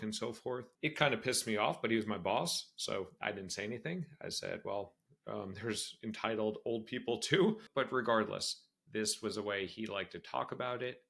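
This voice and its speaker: 40s guy hosting his own career podcast, low gravelly voice, talks straight and frank. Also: fairly bright tone. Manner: candid, conversational, repeats phrases for emphasis. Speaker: podcast host. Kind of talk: solo podcast monologue. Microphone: screen-sharing video podcast setup.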